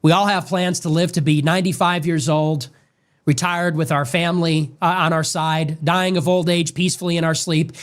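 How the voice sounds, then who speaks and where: Man, slightly high voice, solemn, preacherly cadence, grave monologue, played-back stream. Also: steady tone, normal speed. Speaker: political commentator. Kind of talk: solemn monologue on death and faith.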